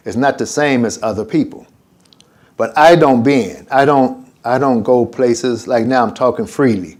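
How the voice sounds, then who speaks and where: A man's voice: mid-range voice, unhurried. An older man teaching an informal religious lesson as imam, wandering off topic and acting out conversations. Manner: animated and digressive, acts out conversations.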